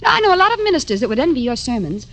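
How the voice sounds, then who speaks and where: An actress playing a sarcastic, fiery doctor's wife in 1950s radio drama style. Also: female voice, high voice, sing-song, racing, fairly bright tone, exaggerated voice. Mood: scornful, sarcastic. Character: sarcastic, fiery doctor's wife; also a slangy island bar girl. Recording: old AM radio drama, music behind.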